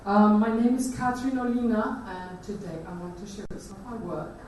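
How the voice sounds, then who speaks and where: A woman with an Icelandic accent gives a conference talk, pausing often and restarting her sentences. Her voice is mellow and dark. It rings through a large, echoey hall, picked up from a distance with faint noise behind. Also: mid-range voice, steady tone. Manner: hesitant, many fillers and restarts. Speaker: conference speaker. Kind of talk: presentation talk.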